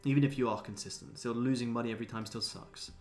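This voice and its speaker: A man's voice: mid-range voice, fairly even intonation, fast, faint music behind. A man in his twenties chatting casually as a livestream host answering viewers, laid-back, blokey and sweary. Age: 20s. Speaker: livestream host, day trader.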